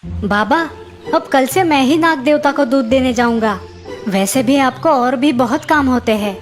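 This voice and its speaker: A woman plays a greedy young village lad in a cartoon fable. The voice is high, eager and crafty, with faint music behind. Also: unhurried. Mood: sly. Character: farmer's young son, scheming and greedy. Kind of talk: animated moral tale dialogue.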